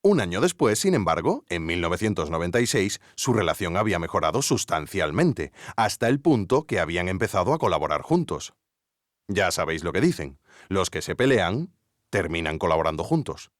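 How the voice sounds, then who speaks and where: An actor playing an earnest investigative podcast narrator with a Spanish accent from Spain, theatrical, suspenseful and tongue-in-cheek. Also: male voice, low-pitched, big pitch swings, fairly quick, no echo, studio quality. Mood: intrigued. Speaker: host. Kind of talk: scripted documentary narration.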